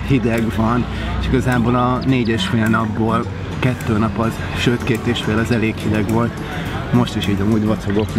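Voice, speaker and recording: A young man speaks casually to a camera at arm's length, out in the open air. His talk is chatty and halting, often stopping to restart a sentence. Loud music plays under his voice. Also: fairly low pitch. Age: young adult. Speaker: vlogger. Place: outdoors by a hotel pool and on a beach. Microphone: handheld camera at arm's length.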